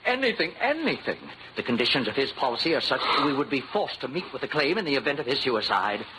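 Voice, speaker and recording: A man's voice: mid-range voice, very animated, fast, lightly acted. A man playing a fussy, flustered, formal insurance company manager in a 1940s radio drama, speaking with prim, agitated emphasis. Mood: fussy, worried. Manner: prim, agitated, emphatic, old-fashioned phrasing. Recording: noisy vintage 1940s radio recording.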